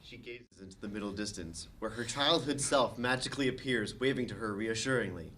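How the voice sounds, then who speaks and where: A man narrating the stage directions of a comedy screenplay at a table read, with expressive delivery. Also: fairly low pitch, a little fast, a little hiss or hum.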